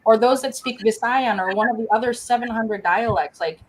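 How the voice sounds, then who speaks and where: A woman educator speaking on a panel over a video call, telling stories in an earnest, animated way. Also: fairly high pitch, a little fast.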